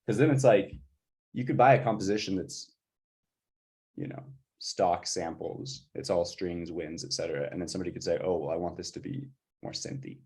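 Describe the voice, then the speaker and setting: A man in an informal chat about music production, tentatively working through his ideas out loud. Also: deep voice, moderate pace, slightly rough. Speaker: composer for audio drama.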